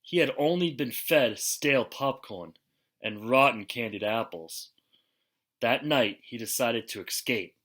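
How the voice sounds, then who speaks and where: Male storybook reader for young children, unhurried and forceful, with expressive, dramatic delivery. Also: mid-range voice. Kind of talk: expressive children's picture book read-aloud.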